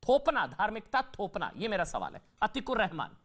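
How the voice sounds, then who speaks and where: Male TV debate anchor, high voice, interrupts and repeats pointed questions insistently. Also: huge pitch swings, brisk, a bit bright. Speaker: TV debate host. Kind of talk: heated news debate moderating.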